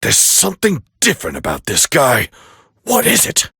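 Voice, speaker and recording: A man voicing a proud, scornful alien warrior prince as battle commentary in an anime fighting game, with a harsh, gravelly growl and a haughty, clipped delivery. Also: medium pitch, huge pitch swings, normal speed, bright, forceful tone, dry recording, studio quality, exaggerated voice. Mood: grudgingly impressed.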